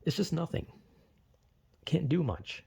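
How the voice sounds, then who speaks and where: A man's voice: fairly high pitch, animated, slow, vocal fry, darkish tone, slightly rough. A young man vlogging in a rambling, self-deprecating way, full of "like" fillers, with a slight lisp. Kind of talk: casual rambling monologue.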